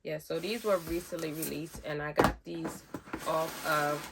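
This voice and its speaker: A woman's voice: fairly even intonation, unhurried, gravelly, heavy background noise. A woman vlogger, chatting casually through a sneaker unboxing, a little nasal from a head cold. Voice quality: deep voice. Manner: chatty, rambling with fillers.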